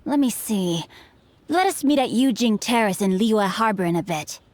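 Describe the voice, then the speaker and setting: A man voicing a young male swordsman who speaks in a formal, measured, earnest way. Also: high-pitched, expressive, no echo. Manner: formal, stiff, measured speech.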